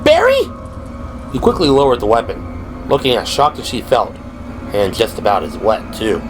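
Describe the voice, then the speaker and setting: A man's voice: lowish voice, a little fast, over music, low-quality recording. A man reading scripted dramatic narration for an audio drama. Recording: clear background noise and ambience. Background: ambient sound effects.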